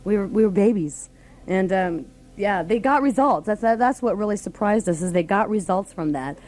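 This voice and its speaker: A woman's voice: medium pitch, expressive, moderate pace, a little mellow, a little hiss or hum. A woman of about thirty chatting casually as a live morning radio host. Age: 30s.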